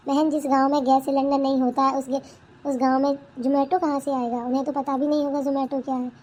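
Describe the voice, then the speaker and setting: A woman's voice: sits high, little pitch movement, fairly quick, darkish tone, natural voice. A woman voicing a defiant, complaining young daughter-in-law in a comedy cartoon. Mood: exasperated. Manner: indignant, complaining, talks back. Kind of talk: Hindi cartoon family comedy dubbing.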